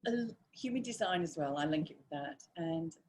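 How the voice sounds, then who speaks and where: A woman's voice: low voice, lively intonation, normal speed, a little mellow, a little hiss or hum. A woman taking part in a live Q&A call, asking her question hesitantly and searching for words.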